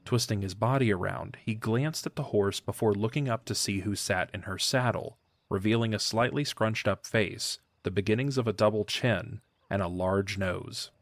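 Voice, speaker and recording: A man reading scripted narration for a fantasy audio drama in a measured storytelling manner. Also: fairly low pitch, no echo.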